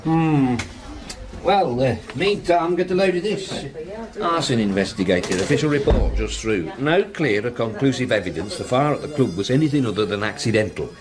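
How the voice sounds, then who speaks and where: An actor playing a chatty police detective in a radio drama, colloquial and dryly jokey. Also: male voice, medium pitch, animated, normal speed, close to an everyday voice. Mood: wry, chatty.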